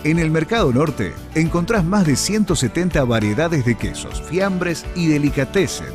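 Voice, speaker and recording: A man's voice: a little high, lively intonation, moderate pace, music in the background. A man reading a scripted advert as an announcer.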